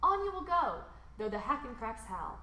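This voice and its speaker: A woman with a fairly high, very lively voice reads a rhyming picture book aloud to children. She leans into the rhymes with theatrical emphasis, stretching words for drama. The recording has a clearly roomy echo. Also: moderate pace, a little hiss or hum. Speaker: storybook reader.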